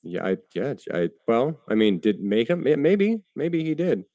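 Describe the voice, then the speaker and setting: A man in a debate who answers haltingly, with many fillers and restarts and some casual swearing. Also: mid-range voice, very animated, warm tone, no echo, dull audio. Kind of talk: live debate.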